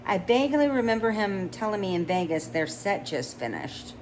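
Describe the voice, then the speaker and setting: A woman's voice: mid-range voice, sing-song, moderate pace, darkish tone, slight background noise, poor audio. A woman reading a romance novel aloud in a conversational way, with small stumbles and restarts. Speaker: audiobook reader.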